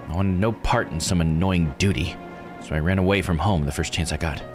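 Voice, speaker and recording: A man voicing a weary, regretful woman diver in a visual novel, measured and confiding. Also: deep voice, lightly acted. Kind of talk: reading visual novel dialogue aloud. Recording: music under the voice. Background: game music.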